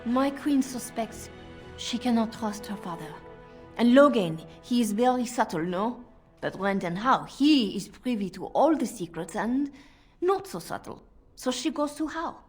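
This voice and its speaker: A discreet handmaiden in a fantasy video game. Her fairly high voice is earnest, careful and anxious. Faint music plays behind her. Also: lively intonation, measured pace. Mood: worried.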